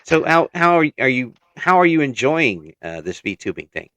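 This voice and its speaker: A man's voice: medium pitch, big pitch swings, moderate pace, fairly bright tone, no room sound. A man hosting a casual interview podcast, chatting in an encouraging way.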